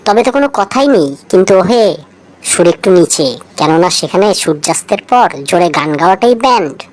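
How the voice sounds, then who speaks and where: A woman's voice: on the low side, lively intonation, moderate pace, poor audio. A woman reading a scripted, light trivia feature, addressing the listener directly and posing rhetorical questions. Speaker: co-narrator of a feature video.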